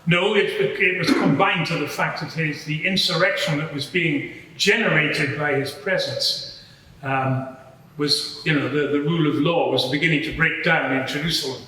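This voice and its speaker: A man playing a matter-of-fact Roman centurion giving hesitant, measured testimony as a witness at an inquiry. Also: a little high, bright, forceful tone. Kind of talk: semi-improvised courtroom testimony. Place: large reverberant courtroom. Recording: very echoey hall, distant mic.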